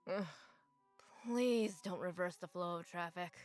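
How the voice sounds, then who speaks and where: A woman voicing a bored high schooler playing a corpse at a haunted house in a visual novel. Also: unhurried, darkish tone, dry recording, soft background music, natural voice. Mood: weary. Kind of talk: visual novel character acting.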